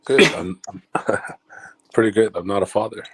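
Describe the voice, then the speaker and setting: Deep-voiced man chatting casually. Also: steady tone, normal speed, noisy background. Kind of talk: casual chat.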